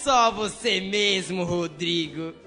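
A man's voice: sits high, takes it slowly. A male performer playing a rich schoolboy, the colloquial, incredulous friend of a bully, in a radio drama. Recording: long reverb, music behind.

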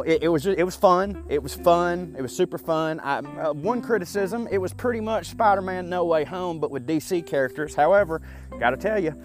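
Rambling Southern man in his thirties. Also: fairly high pitch. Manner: chatty, profane, self-deprecating, frequent fillers. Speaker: podcast host. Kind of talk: casual rambling monologue.

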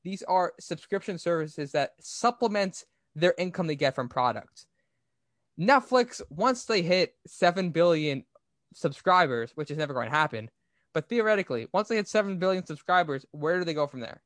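Male business podcast host, slightly high register, explanatory and opinionated, very dry recording. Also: sing-song, normal speed, fairly bright tone, no echo. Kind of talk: conversational business commentary.

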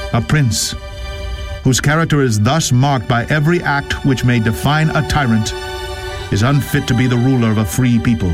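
Man, medium pitch, grave declamatory reading, deliberate delivery, solemn historical document, clear music bed. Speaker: reader.

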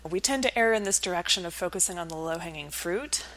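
Low-voiced woman explaining as an expert guest. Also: animated, quick pace, fairly bright tone, low-quality recording. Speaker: interview guest, academic researcher. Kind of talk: conversational expert explanation in an interview.

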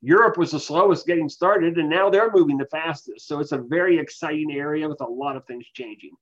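A man speaking as an expert guest, giving explanatory interview answers in a measured, analytical way. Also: a little high. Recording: dull, lo-fi voice capture.

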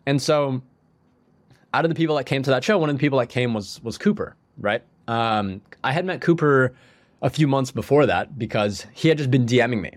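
A man's voice: mid-range voice, animated, fairly quick, no echo. A young man, a musician guest on a podcast, telling his story in a rambling casual way full of "like" and restarts, a bit sniffly from a cold. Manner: rambling, heavy use of "like", frequent restarts.

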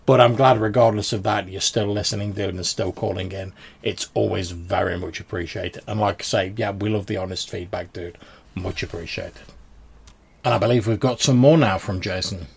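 Low-voiced gravelly man, casual podcast host. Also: fairly even intonation, normal speed. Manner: informal, chatty, often says "dude". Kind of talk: casual podcast talk responding to listener voicemails.

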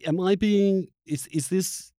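A man's voice: high-pitched, slow, warm tone, dry recording, clean studio sound. A man hosting a reflective long-form interview, who feels his way through his thoughts and often restarts phrases mid-sentence. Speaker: podcast host interviewing a guest.

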